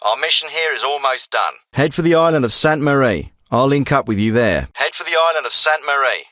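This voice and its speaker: A man's voice: mid-range voice, lively intonation, brisk, bright, forceful tone, no room sound. An actor playing a commanding male special forces team leader in a video game, with firm, clipped, businesslike military delivery. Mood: brisk, serious. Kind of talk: scripted military radio dialogue.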